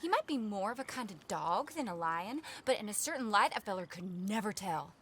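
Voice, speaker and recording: A woman's voice: mid-range voice, lively intonation, brisk, fairly bright tone, slight background noise, close to an everyday voice. A woman playing a naive, eager circus performer in a video game, folksy and guileless. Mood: worried, earnest. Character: earnest, naive, eager female circus performer and animal minder. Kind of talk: video game character acting.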